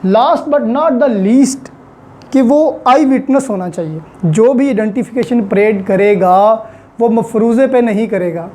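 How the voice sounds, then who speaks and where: Pakistani lady law teacher explaining step by step, soft mellow voice, takes it slow, mixes in english words. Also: medium pitch. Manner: didactic, repeats key points for emphasis; mixes English terms and phrases into speech. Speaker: law lecturer. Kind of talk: explanatory lecture with worked examples.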